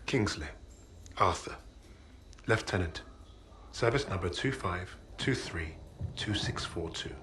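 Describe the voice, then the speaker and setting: An actor playing a commanding British army lieutenant in a war game, with firm, clipped speech. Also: male voice, low-pitched, animated, slow, pauses often, slight background noise, full character voice. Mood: firm, defiant. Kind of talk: game cutscene acting. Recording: loud mechanical battle ambience.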